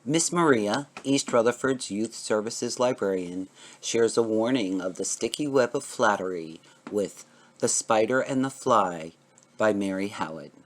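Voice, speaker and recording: Low-voiced man narrating dramatically. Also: measured pace. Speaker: presenter and narrator.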